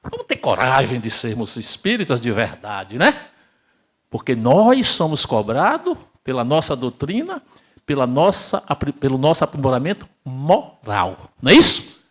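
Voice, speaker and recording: An older man from Brazil giving an emphatic spiritist lecture, asking rhetorical questions and swinging between loud and hushed delivery. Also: medium pitch, huge pitch swings, moderate pace. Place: spiritist center hall, live audience.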